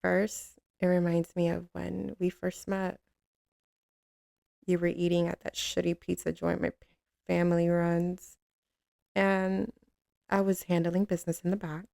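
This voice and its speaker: Casting: woman as a commanding female mafia boss, slow drawl, dark velvety tone, tough but soft on her man, intimate ASMR roleplay. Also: on the low side, gravelly, dry recording, clean studio sound. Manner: drawling, teasing, streetwise, with pet names.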